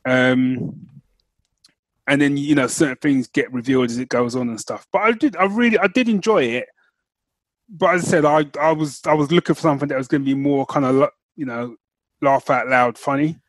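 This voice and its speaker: A man's voice: mid-range voice, brisk, no room sound. Male podcast co-host, close dry sound. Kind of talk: casual chat.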